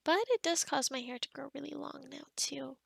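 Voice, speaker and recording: Girl acting as a sweet awkward forest witch, high soft voice, kinda crackly, asmr roleplay. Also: moderate pace, gravelly, no echo, studio quality. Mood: sheepish storytelling. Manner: hesitant, rambling, softly spoken with whispery stretches. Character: kind, awkward young forest witch, protective of her frog. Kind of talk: ASMR roleplay addressed to the listener.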